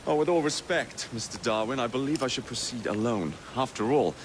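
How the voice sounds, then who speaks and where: A man playing a cocky, wry young Victorian assassin in a game, with an English accent and smooth, sardonic politeness. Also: medium pitch, fairly quick, natural voice. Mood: wry, polite. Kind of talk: video game cutscene acting. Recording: clear street and vehicle noise behind.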